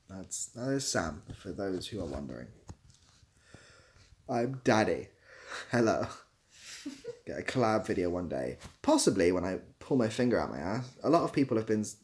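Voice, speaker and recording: A man's voice: lowish voice, big pitch swings, normal speed, a little mellow. A young man hosting a casual livestream, reading out comments and giving dry, self-deprecating, rambling answers. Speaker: livestream host.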